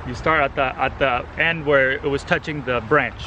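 A man's voice: mid-range voice, steady tone, normal speed, highs cut off, noisy background. A man in his thirties from El Salvador, hosting a casual gardening vlog in a yard and enthusing over the fruit he tastes. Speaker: gardening channel host. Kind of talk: casual outdoor vlog chat. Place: residential yard outdoors. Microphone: handheld camera at arm's length.

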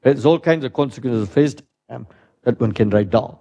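A middle-aged man with a loud voice giving a physics lecture in a lecture hall, thinking aloud with many fillers and "right?" tags. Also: medium pitch, fairly quick. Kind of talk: academic lecture.

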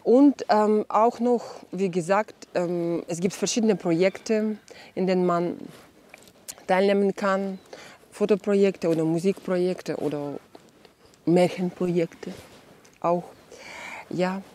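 A woman's voice: lowish voice, fairly even intonation, takes it slowly, pauses often, mellow. A young woman from Ukraine, sitting in an outdoor garden and thoughtfully explaining the garden's creative projects, with many fillers. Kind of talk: documentary interview.

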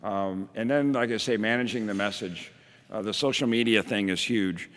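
A man's voice: fairly low pitch, normal speed, fairly bright tone. A middle-aged man giving a plainspoken keynote talk from a podium mic and taking audience questions, with frequent ums and restarts. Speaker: county emergency services director, keynote speaker. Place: large hall at a podium. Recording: reverberant hall.

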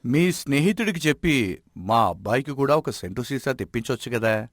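A man playing a wise, respected village elder in an animated moral story, giving advice in a measured, admonishing way. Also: medium pitch, no room sound, clean studio sound, lightly acted. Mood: assured.

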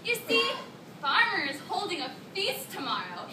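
A cheeky little female mouse deer trickster, acted by a young woman for a children's stage story. Her voice is extremely high and swoops in a bold singsong, caught from far off in an echoey room. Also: very animated, moderate pace, bright, forceful tone, full character voice. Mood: sly. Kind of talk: children's readers theatre performance. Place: classroom, recorded by a far camera. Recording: echoey classroom from far off, noisy.

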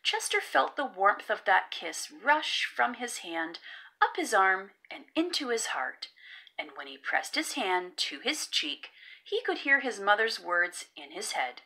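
A woman reading a children's picture book aloud as an expressive, careful storyteller. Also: mid-range voice, a little slow.

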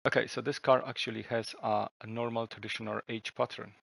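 A man's voice: medium pitch, little pitch movement, brisk, gravelly, fairly bright tone, no echo. A man giving casual commentary while playing a racing game, reading the game's dialogue aloud and reacting as he drives. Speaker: gaming video host. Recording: noisy game audio underneath. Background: racing game engine sounds.

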